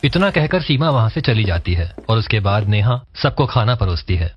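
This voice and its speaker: A man's voice: fairly low pitch, sing-song, moderate pace, dull audio, a little hiss or hum. A man reading scripted narration for a cartoon family story.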